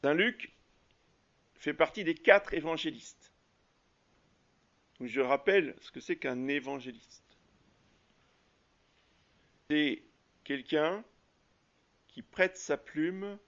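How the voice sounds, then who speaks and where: A man preaching a sermon as a priest, halting often with fillers and restarts. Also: fairly high pitch, expressive, fairly bright tone, muffled recording. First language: French.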